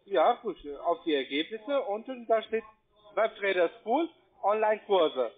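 A non-native man with a foreign accent doing persuasive sales talk over the phone, insistent, repetitive and halting in his phrasing. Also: high voice, lively intonation. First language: not German. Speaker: call center agent selling trading courses. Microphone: telephone. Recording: tinny, band-limited phone line.

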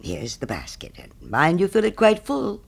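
Storybook miller, played by a male reader: smug, self-righteous, preachy, with big pitch swings and some gravel. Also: wildly swooping pitch, normal speed, exaggerated voice. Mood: smugly grasping. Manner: pompous, self-righteous, moralizing. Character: smug selfish miller, grown man, preachy false friend. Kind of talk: storybook character reading.

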